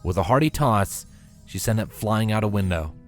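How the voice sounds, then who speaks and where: A man's voice: lowish voice, lively intonation, moderate pace, soft background music. A man narrating a scripted story as a reader. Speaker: fan fiction reader.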